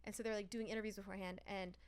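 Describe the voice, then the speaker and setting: A woman's voice: mid-range voice, flat delivery, racing, smooth. A young adult woman stand-up comedian telling stories in a casual podcast chat, swearing, laughing and acting out other people's lines.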